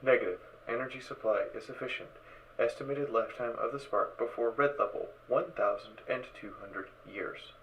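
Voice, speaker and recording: A man voicing a literal-minded spacesuit computer in an audio drama, clipped, formal, mechanical and deadpan. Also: medium pitch, moderate pace, natural voice. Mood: warning, insistent. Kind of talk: fan audio drama character acting. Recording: dull, distant, noisy sound.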